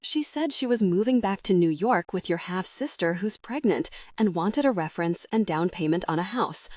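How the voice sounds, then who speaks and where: A woman voicing a gentle middle-aged stepmother who speaks tearfully and apologetically in an audiobook. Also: on the low side, animated, normal speed, far from the mic. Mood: remorseful.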